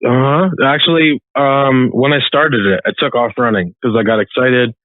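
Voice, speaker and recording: A man's voice: mid-range voice, steady tone, normal speed, dry recording. Earnest man on a tinny line. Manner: earnest, reflective, laughs softly. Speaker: psychic guest. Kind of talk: casual podcast chat. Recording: dry phone-like call line.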